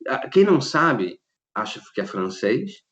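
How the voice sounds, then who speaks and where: Brazilian guy, middle-aged, telling stories all excited, restarts a lot, kinda muffled lo-fi sound. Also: medium pitch, unhurried. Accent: Brazilian Portuguese. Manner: animated storyteller, restarts often, laughs easily. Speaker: interview guest, lighting designer. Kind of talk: relaxed conversational interview.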